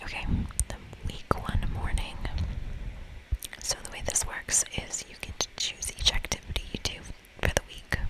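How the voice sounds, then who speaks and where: A woman whispering breathily in an ASMR style as she hosts a game playthrough, reading aloud and commenting softly. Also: moderate pace, low-quality recording. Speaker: ASMR gaming host.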